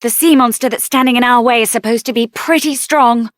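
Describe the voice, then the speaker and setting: A woman's voice: on the high side, brisk, no echo, studio quality, close to an everyday voice. A woman voicing a headstrong, fiery young woman mage in a video game, with a British accent and an assertive, theatrical delivery. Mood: determined, pensive. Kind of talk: video game character voice acting.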